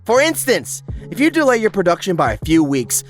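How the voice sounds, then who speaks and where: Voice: mid-range voice, huge pitch swings, a little fast. A video essay host narrating a script in a sarcastic, exaggerated way and swearing freely. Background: background music.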